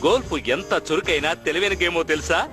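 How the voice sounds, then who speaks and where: Casting: male actor as middle-aged cartoon father, high voice, showy and enthusiastic, over prominent music. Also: quick pace, lightly acted. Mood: boastful, eager. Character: excitable middle-aged cartoon dad, boastful and eager. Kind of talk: cartoon dub acting. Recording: loud music behind the voice.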